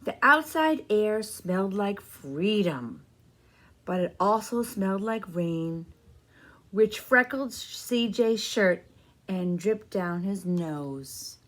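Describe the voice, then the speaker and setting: A woman reading a children's picture book aloud as a storyteller, with deliberate, expressive phrasing. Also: medium pitch.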